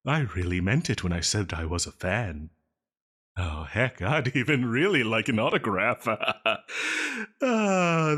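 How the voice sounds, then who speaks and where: A man's voice: fairly low pitch, a bit bright, heavily acted. A man playing a needy, possessive supervillain in a roleplay audio drama, melodramatic and prone to giggles and stammers. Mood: adoring, giddy. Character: male yandere supervillain, theatrical, needy, possessive, self-pitying, lovestruck.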